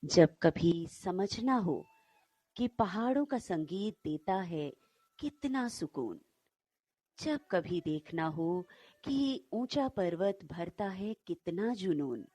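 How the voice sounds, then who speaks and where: A woman co-hosting and telling stories to listeners in an unhurried way. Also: lowish voice, lively intonation, warm tone, no echo. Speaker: co-host and storyteller.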